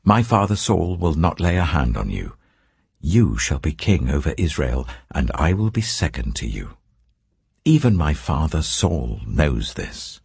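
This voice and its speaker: Loyal prince voiced by a male narrator, low and husky, gently reassuring a friend in a scripture audiobook. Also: very animated, a little slow, no echo, exaggerated voice.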